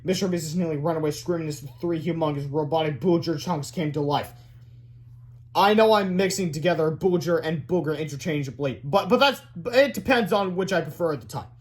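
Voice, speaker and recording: A man narrates a children's book in a read-aloud style. His voice is a little higher than average for a man. The delivery is expressive storytelling. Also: brisk.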